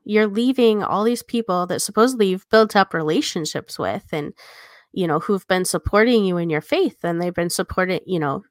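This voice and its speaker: A woman's voice: fairly low pitch, animated, moderate pace, no echo. Woman in earnest podcast chat, dry sound. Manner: earnest, conversational, poses rhetorical questions. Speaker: podcast co-host. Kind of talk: casual explanatory discussion.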